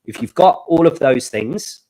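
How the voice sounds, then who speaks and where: A man co-hosting a live stream in casual chat, his voice oddly high and squeaky from a glitch, with a blocked nose, making cheerful, rambling announcements. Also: expressive, unhurried, mellow, rough recording. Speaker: stream co-host. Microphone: desk microphone.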